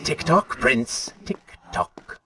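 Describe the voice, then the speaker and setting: An actor playing a sneering, taunting dark spirit, a silky and mocking inner voice in a video game. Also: male voice, very slow, very noisy. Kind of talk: video game character acting. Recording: music under the voice.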